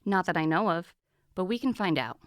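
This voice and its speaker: A woman's voice: lowish voice, animated, brisk, clear, resonant tone, no echo, clean studio sound, lightly acted. A woman playing a harried senior hospital doctor in an audio drama, matter-of-fact and cautious. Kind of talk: dramatic podcast acting.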